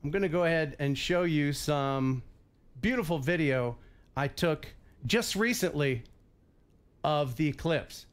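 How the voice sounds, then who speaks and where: A man's voice: fairly high pitch, lively intonation, a little slow. A man in his fifties with a head cold, hosting a casual live stream with upbeat emcee announcements and jokes. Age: 50s. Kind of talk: casual live show chat.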